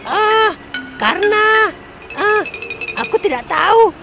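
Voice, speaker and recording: A woman's voice: very high-pitched, lively intonation, takes it slowly, loud music behind the voice, heavily acted. A woman voicing a friendly, easygoing vehicle in a dubbed children's cartoon, chatty and a little hesitant. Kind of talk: cartoon dubbing. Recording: clear background noise.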